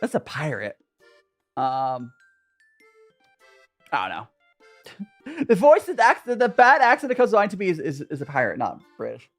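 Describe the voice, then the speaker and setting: Rambling lively Southern Californian guy. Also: fairly high pitch, normal speed, pauses often, soft background music. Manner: rambling, chatty, self-mocking, many restarts and fillers. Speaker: streamer hosting a tea chat. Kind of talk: casual livestream chat with viewers.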